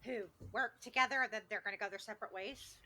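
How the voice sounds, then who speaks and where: A woman's voice: mid-range voice, quick pace, pushed and bright. A woman chatting casually as a podcast panelist. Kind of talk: casual chat.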